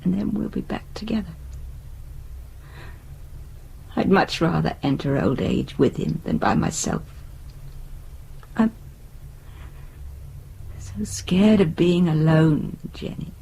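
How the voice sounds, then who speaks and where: A woman's voice: lowish voice, lively intonation, a little slow, gravelly, long pauses, dark tone, slight background noise, heavily acted. An actress playing a resigned, anxious middle-aged British wife and mother in a naturalistic radio drama, hesitant and wistful. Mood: anxious, sad.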